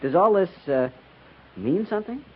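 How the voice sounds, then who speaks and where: A man's voice: high-pitched, animated, normal speed, husky. An American man acting an earnest, nosy, flustered junior cavalry lieutenant in a 1950s radio drama, hesitant and stammering. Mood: flustered, incredulous. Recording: dull 1950s radio recording.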